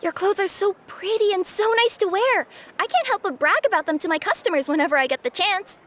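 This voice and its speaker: A woman's voice: very high voice, animated, fairly quick, fairly bright tone. A woman voicing a cheerful, playful young female courier spirit with a chirpy, giggly manner. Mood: sheepish. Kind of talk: video game voice acting. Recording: game audio with music.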